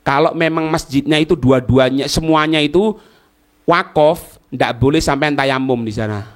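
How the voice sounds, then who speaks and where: A man's voice: a little high, normal speed. A man with an East Javanese accent giving an informal religious lecture as an Islamic teacher, explaining point by point and asking and answering his own questions. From East Java, Indonesia. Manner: asks and answers own questions, checks listeners understand.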